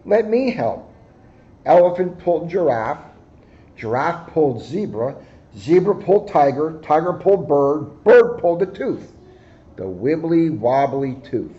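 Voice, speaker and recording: A man reads a picture book to children at a slow, deliberate pace. His voice is mellow and a little high, with expressive storytelling. The recording sounds slightly dull. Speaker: guest story reader, police officer.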